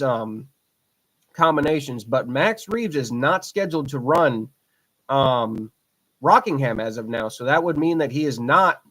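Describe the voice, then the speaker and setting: A man's voice: on the high side, a bit bright. Chatty young man hosting a racing podcast. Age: 20s. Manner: rambling, repeats himself, frequent fillers. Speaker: podcast host. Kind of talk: casual solo podcast commentary.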